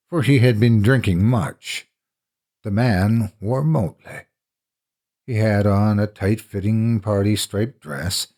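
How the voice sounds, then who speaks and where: A man with a gravelly, husky voice reading a classic horror story as dramatic narration. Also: lowish voice, a little mellow.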